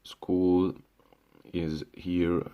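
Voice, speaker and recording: A man's voice: deep voice, little pitch movement, extremely slow, clear, resonant tone, lo-fi sound. A young man from Syria speaking in a casual ranting monologue, restarting often, swearing and calling the listener "bro". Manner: frequent restarts and fillers, swears, says "bro". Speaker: vlogger.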